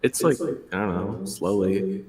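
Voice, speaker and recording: A man's voice: sits low, unhurried, reverberant, far from the mic, crowd noise. A man in casual gaming voice chat, blunt and crude in his banter. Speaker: raid member on voice chat.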